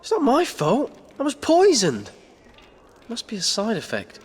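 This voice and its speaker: A man's voice: extremely high pitch, huge pitch swings, unhurried, slightly rough, exaggerated voice. A young English actor playing a hapless, grumbling teenage boy wizard in a video game. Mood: defensive.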